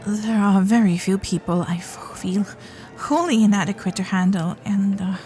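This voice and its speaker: A woman's voice: mid-range voice, moderate pace, dark tone, faint music behind, lightly acted. Woman playing a chatty, flustered young society heiress. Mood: relieved, hushed. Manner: flustered, hesitant, babbling, genteel and formal. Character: chatty, nervous young Gilded Age heiress, sweetly awkward, romantic. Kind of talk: romantic period audio roleplay, spoken intimately to listener.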